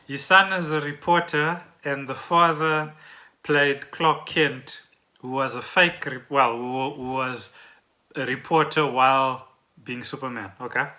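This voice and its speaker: A woman's voice: a bass voice, measured pace, sharp tone. A woman chatting casually as a podcast co-host at home. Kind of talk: casual chat. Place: at home, indoors.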